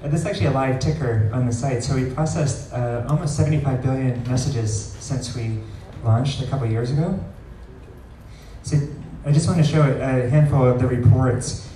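A man presenting a live software demo at an indoor meetup, explaining informally with frequent pauses, picked up by a distant camera. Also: mid-range voice. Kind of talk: live product demo talk. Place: large wood-ceilinged meetup room, indoors. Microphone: far camera recording the room. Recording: roomy, distant pickup with faint noise.

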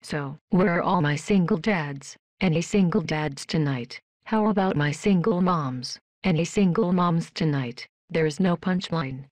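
A woman voicing a polite, emotionless female robot worker in a video game, with flat, stilted, deadpan delivery. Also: low voice, measured pace, few pauses, no echo. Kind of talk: scripted video game voice lines.

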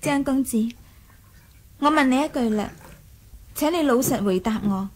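A young noblewoman with a high voice, in the style of 1980s radio drama. She is proud and willful, coy one moment and imperious the next, with a ruthless, teasing edge. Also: unhurried, a little mellow.

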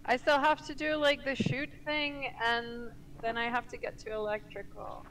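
A woman's voice: on the high side, little pitch movement, moderate pace, fairly bright tone, slight background noise, poor audio. A woman in a game voice chat, talking hesitantly with many fillers. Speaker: game player. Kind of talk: casual voice chat.

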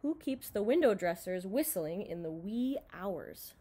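A young woman reading a children's picture book aloud in an expressive, dramatized way, recorded in a room at home. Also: medium pitch, unhurried, slightly rough. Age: young adult. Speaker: storybook reader.

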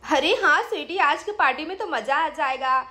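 A woman's voice: sits high, animated, moderate pace, bright, forceful tone. A woman voicing a cheerful, doting mother who chats encouragingly in a children's doll story. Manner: encouraging, chatty.

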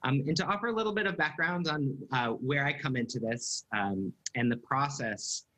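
American man, thirties, bright forward voice, chatty moderator, casual, video call. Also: medium pitch, moderate pace, rough recording. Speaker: panel moderator. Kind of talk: casual panel hosting over video call. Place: indoor room at home on a video call. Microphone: computer video call.

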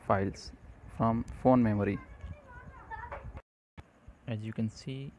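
A man narrating a scripted software tutorial demo in short, halting phrases. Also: lowish voice, slight background noise, low-quality recording. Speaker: tutorial presenter. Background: music playing behind the voice.

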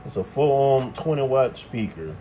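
A man's voice: medium pitch, lively intonation, moderate pace, warm tone. American man, folksy how-to chat over music. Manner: folksy, thinks aloud while working. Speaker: host, car mechanic. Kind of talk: casual hands-on how-to chat to camera.

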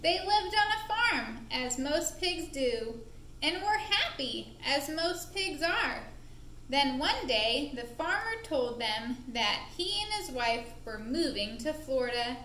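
A woman narrating a puppet show for young children in an expressive, deliberate, playful way, recorded in an indoor room. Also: high-pitched. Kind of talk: animated storytelling for young children. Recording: roomy echo with faint background noise.

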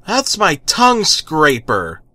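A man's voice: fairly high pitch, huge pitch swings, slow, bright, forceful tone, no echo, pitched above the performer's own voice, full character voice. A man voicing a timid, exasperated male superhero sidekick, stammering and apologetic, in a comic story reading.

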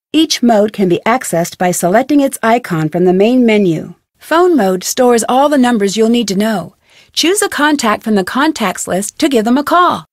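An actress with an American accent playing a curious, friendly young woman detective in a video game, chipper and clearly scripted. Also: on the high side, dry recording, clean studio sound. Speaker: video game heroine and tutorial guide. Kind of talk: scripted game dialogue and tutorial narration.

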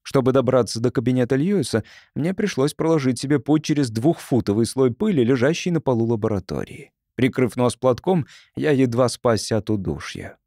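A male audiobook narrator reads fiction expressively. His voice is mid-range with lively intonation, and the recording is dry, with no room sound. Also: medium pitch, moderate pace, few pauses, dry recording.

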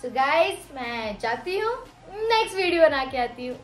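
A woman with a high voice hosts a reaction video and chats with her viewers. Her talk is relaxed and slow. A roomy echo surrounds her. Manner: relaxed and friendly, addressing viewers. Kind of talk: casual chat to the camera.

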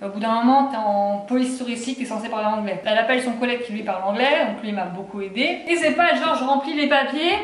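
A woman in her twenties from France with a French accent, chatting casually for a vlog in an expressive, slangy, sweary way. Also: fairly high pitch, fast talker, hardly any pauses, reverberant. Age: 20s. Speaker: vlogger. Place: small apartment kitchen and living room, indoors.

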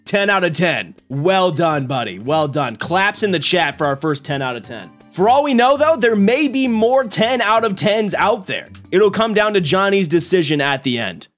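A man's voice: high voice, very animated, normal speed, few pauses, fairly bright tone, faint music behind. A man in his twenties streaming from a small room at home into a desk mic, joking energetically and laughing often. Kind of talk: casual live-stream commentary. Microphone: desk mic on boom arm.

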